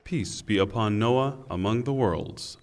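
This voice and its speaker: A man reading a scripture translation in a solemn, measured and deliberate way. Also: on the low side, darkish tone. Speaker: translation reader. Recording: long hall reverb.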